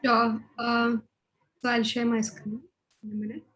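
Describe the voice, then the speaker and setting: A woman researcher giving an online presentation, explaining her method while often clearing a scratchy throat. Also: on the high side, flat delivery, unhurried, fairly bright tone.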